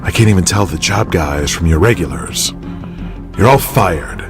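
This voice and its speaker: Arrogant, menacing low-voiced wrestling mogul. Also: male voice, animated, over music. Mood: contemptuous. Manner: slow, sneering, domineering. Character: arrogant, menacing, lecherous male wrestling mogul, cruelly dismissive. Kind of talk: audio drama acting.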